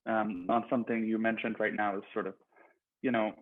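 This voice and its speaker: A man's voice: on the low side, level tone, a little fast. A man moderating a discussion, circling hesitantly toward his questions as he thinks them through. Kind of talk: thoughtful discussion, posing questions. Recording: thin phone-like call audio.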